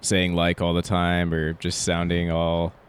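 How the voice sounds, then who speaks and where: Low bassy laid-back man. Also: completely flat delivery, unhurried, clear, resonant tone. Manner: laid-back, swears freely, laughs often. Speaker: podcast host. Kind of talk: casual chat. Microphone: in-person podcast mic with phone backup.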